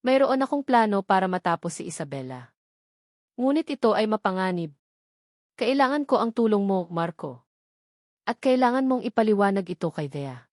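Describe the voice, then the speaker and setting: A woman voicing a cold, secretive male schemer with a measured manner in a romance story. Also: medium pitch, very animated, a little mellow, dry recording, studio quality, lightly acted. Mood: grave. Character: cold, calculating man, a secretive mastermind.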